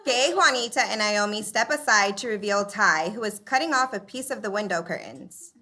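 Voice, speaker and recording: A woman narrating stage directions at a live comedy read, in a brisk, declarative way. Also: mid-range voice, sharp tone.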